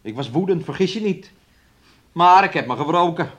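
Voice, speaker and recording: A man's voice: fairly high pitch, lively intonation, normal speed. Brusque, domineering man. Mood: sullen reproach. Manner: brusque, impatient, refers to himself in third person. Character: domineering, callous man bullying his sick woman partner. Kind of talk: radio play acting.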